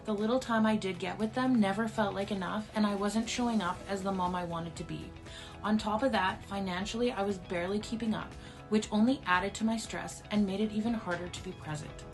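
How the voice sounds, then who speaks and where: A woman's voice: medium pitch, fairly quick, music in the background. A woman from Alberta, a teacher and single mother, earnestly sharing her story. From Alberta, Canada. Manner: earnest, open delivery. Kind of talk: personal testimonial.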